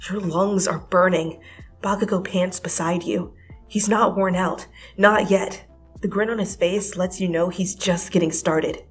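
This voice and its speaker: A woman with a lower voice narrates a dramatic second-person story. She drops into hushed whispers and then rises breathlessly when the action picks up. Soft music plays quietly underneath. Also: fairly even intonation, measured pace. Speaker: fanfiction reader.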